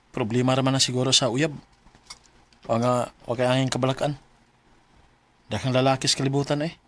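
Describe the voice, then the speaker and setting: A man's voice: medium pitch, fairly bright tone, natural voice. An actor playing an earnest, troubled young male college student in a radio drama. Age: young adult. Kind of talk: Filipino radio drama acting.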